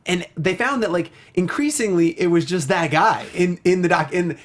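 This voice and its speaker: A man's voice: high-pitched, normal speed. Enthusiastic man co-hosting a talk show. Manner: enthusiastic, effusive. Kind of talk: casual film and video game discussion.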